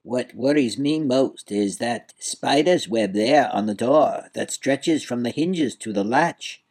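A man voicing a fretful, insistent little old male creature from a storybook, with a high, quavering voice and dramatic delivery. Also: slow, a little mellow. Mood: worried.

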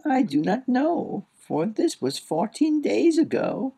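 A man voicing a weary, stony, witchlike woman in a storybook, creaky and high, speaking in solemn incantatory phrases. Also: big pitch swings, slow pace, mellow, exaggerated voice. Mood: blank. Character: stony, weary, dry-eyed witchlike woman, coldly sorrowless.

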